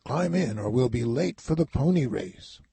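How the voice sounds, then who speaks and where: A man voicing a hurried, mildly scolding uncle in a children's storybook. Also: medium pitch, animated, gravelly, loud music behind the voice. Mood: urgent, chiding.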